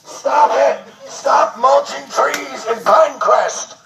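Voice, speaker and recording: A man's voice: very high voice, slow pace, echoey room, far from the mic, crowd noise. A middle-aged man in an indignant, theatrical manner, sometimes amplified through a bullhorn, recorded on amateur video. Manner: loud, indignant, theatrical, often laughing. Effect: bullhorn amplification at times. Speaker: resident confronting noisy tree workers. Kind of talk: shouted bullhorn rants and casual chat. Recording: very noisy amateur home video. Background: loud wood chipper noise.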